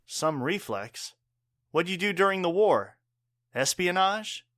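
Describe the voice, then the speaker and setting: A man playing a caring, gruff bartender father and war veteran in an audio drama, earnest, wry and plain-spoken. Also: high voice, animated, slow, fairly bright tone, dry recording, clean studio sound, full character voice.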